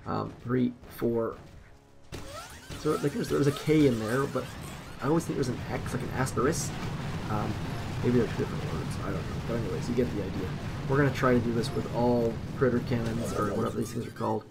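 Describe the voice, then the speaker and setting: Gravelly-voiced man chatting over gameplay. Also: mid-range voice, a little fast, music in the background, poor audio. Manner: chatty, self-deprecating, thinks aloud. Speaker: gaming channel host. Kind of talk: casual gameplay commentary. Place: home room.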